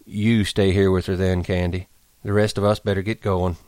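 Man playing a calm, quiet ranch leader. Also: low-pitched, normal speed, no room sound, close to an everyday voice. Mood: grave. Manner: quiet, steady.

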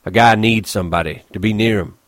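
Man playing a bitter, lonely stable hand, low-pitched. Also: fast talker, no room sound, lightly acted. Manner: brooding, colloquial rural ranch talk.

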